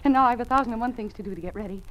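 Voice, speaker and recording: A woman's voice: a little high, racing, lightly acted. An actress playing a confident, naive young woman teacher, poised and articulate, in 1950s radio drama style. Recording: noisy old radio recording.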